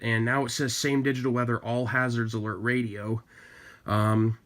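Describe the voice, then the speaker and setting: A man's voice: medium pitch, a bit bright. A man with an American accent casually presenting items from his hobby collection, as in a home product show-and-tell.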